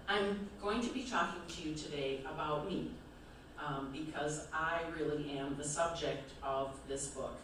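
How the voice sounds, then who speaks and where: An older woman with an American Midwest accent talks in a chatty, informal way, checking in with her listeners as she goes. Her voice sits a little low. The recording comes from far off in a big, echoey hall, with faint noise underneath. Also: fairly bright tone. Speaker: author and speaker. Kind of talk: live talk to an audience. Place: large event hall. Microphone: distant room mic.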